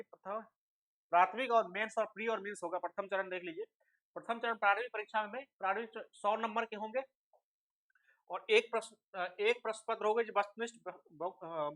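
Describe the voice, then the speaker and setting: A man's voice: high voice, fairly bright tone, poor audio. A man explains like a coaching teacher, asking questions and answering them himself, mixing in English terms. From India. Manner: asks rhetorical questions and answers them, checks understanding. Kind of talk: instructional explanation to students.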